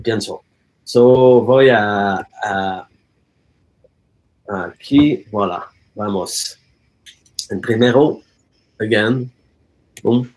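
A man's voice: on the low side, very slow, long pauses, a little mellow, low-quality recording. A man whose first language is French, giving an online guest lecture in hesitant speech with many fillers and stray English and French words. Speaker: guest speaker. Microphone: video call.

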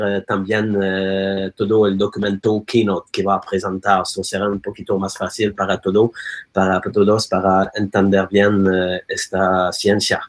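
A man with a low voice and a French first language gives a guest lecture over a video call. He talks hesitantly, with many fillers and a few English and French words mixed in. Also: husky. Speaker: guest speaker. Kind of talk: online lecture presentation.

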